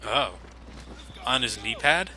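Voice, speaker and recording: A man talks over gameplay with a very bright, forward tone and a lively, swinging pitch. He pokes fun at the game in a loose, sarcastic way, talking into a desk microphone. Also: slow pace, slight background noise. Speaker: let's play commentator. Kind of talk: casual game commentary.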